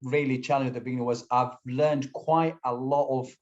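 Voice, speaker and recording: A man's voice: medium pitch, fairly even intonation, fairly quick, a little mellow. Hesitant Syrian-born man, lo-fi audio. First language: Kurdish. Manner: hesitant, many fillers and restarts. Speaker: guest, English teacher. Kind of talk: casual interview conversation. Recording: slightly dull lo-fi audio.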